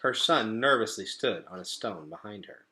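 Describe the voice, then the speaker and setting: A man reading a children's storybook aloud to pupils in an expressive, deliberate storytelling manner. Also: fairly low pitch.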